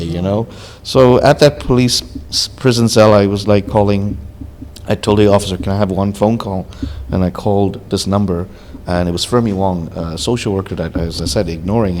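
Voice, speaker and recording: A young man from Hong Kong with a low-set voice, speaking earnestly and candidly as a podcast guest. He tells his own story in a loose, conversational way, often filling pauses with "you know". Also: darkish tone, slight background noise. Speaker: podcast guest, social worker.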